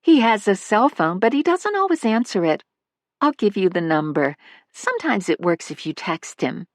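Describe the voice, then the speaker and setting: A woman voicing a fussy older woman who dotes on her cats, speaking shrilly and high. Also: sing-song, normal speed, no room sound, clean studio sound, close to an everyday voice. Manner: shrill and high, frantic when upset. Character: fussy older woman, doting cat lady.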